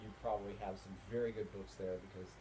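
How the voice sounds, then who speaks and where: A man's voice: lowish voice, steady tone, fast. Emphatic male interviewer, faint background noise. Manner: opinionated, emphatic, repeats phrases for effect. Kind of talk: informal on-location interview. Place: inside a bookstore.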